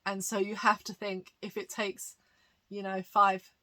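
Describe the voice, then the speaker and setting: A woman pastor speaks to camera in a relaxed, teaching manner, explaining things patiently and filling pauses with "um"s. Her voice has a bright, forward tone at a middle pitch. She laughs lightly now and then as she talks. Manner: chatty and explanatory, many fillers, light laughs. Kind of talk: conversational teaching monologue.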